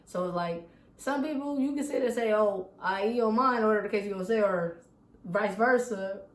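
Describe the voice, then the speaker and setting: A woman from San Bernardino hosting a casual interview, talking in a relaxed, slangy way. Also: medium pitch, brisk, roomy sound. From San Bernardino, California. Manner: relaxed, slangy, laid-back. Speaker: interviewer host.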